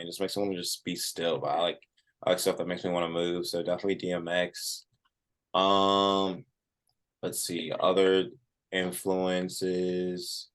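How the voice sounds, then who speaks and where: A man with a low-pitched voice gives a casual interview as a musician. His phrasing is informal and conversational, peppered with "like.". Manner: informal, frequent "like" as filler.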